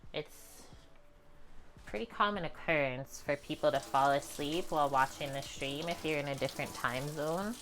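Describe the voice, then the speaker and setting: A young woman with a calm, chatty manner, walking viewers through a home cooking session in her kitchen. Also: deep voice, normal speed, low-quality recording. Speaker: cooking streamer. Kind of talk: casual cooking demonstration.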